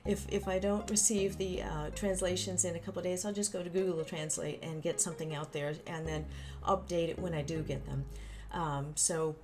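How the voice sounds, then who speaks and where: A woman's voice: on the low side, steady tone, moderate pace. A woman giving a casual, chatty explainer on a game update, with informal asides and folksy exclamations. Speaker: gaming channel host. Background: background music.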